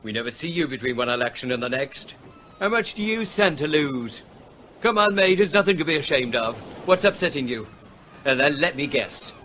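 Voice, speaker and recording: A blunt, sceptical seafront trader. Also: male voice, mid-range voice, lively intonation, normal speed, bright, forceful tone, a little hiss or hum. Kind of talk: 1970s radio drama acting.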